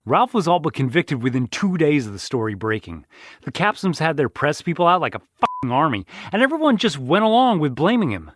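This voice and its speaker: A man's voice: a little high, very animated, a little fast, no room sound, studio quality. A man playing a loyal, embittered friend of an accused man, talking casually and plainly as if interviewed. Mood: indignant. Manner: casual, sweary, plain-spoken. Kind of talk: casual interview in an audio drama.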